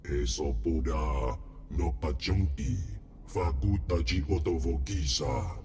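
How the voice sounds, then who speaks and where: A man voicing a huge slug-like alien businessman in a video game, with a booming, blustering delivery in an invented alien tongue. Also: slow pace, darkish tone, a little hiss or hum. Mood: blustering, imposing.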